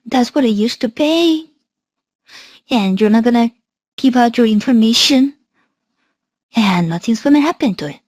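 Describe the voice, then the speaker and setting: A man speaking through a voice changer as a woman, giving deliberate warnings in a software demo. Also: very high voice, lively intonation, dry recording, clean studio sound, voice pushed up. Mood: cautioning. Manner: deliberate, cautioning.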